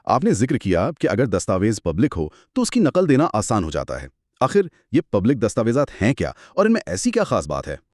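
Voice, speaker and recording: A man co-hosts a lesson in a conversational, inquisitive manner. His voice sits fairly low but rises and falls in a lively way. The sound is dry, with hardly any room around it. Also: a little fast, no room sound. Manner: inquisitive, rephrases points in simple terms. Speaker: co-host posing questions. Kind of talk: conversational educational dialogue.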